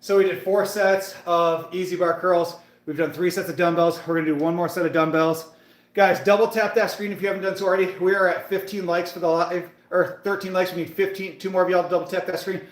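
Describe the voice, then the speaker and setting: A man's voice: high-pitched, little pitch movement, brisk. Chatty man in his thirties, echoey gym. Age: 30s. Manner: chatty, hyped, talks to viewers in the chat. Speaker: fitness livestream host. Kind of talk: casual live-stream chat while working out.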